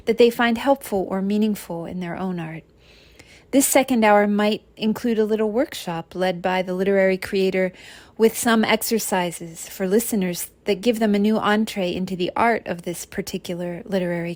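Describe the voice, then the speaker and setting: Donna dalla voce asciutta, registrazione pulita senza eco. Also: female voice, mid-range voice, dry recording. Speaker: contributor answering a question on African studies. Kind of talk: prepared spoken answer, measured explanation.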